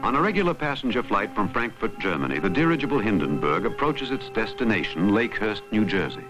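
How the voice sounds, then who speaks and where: A man delivering scripted, measured and dramatic narration for a documentary. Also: lowish voice, sing-song, rough recording. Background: music bed.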